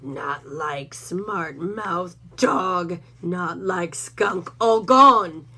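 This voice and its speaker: A woman voicing a gruff, dim-witted male coyote who speaks slowly in broken, clipped phrases. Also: fairly low pitch, bright, forceful tone, pitched below the performer's own voice, heavily acted. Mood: sullen. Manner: slow, clipped broken grammar.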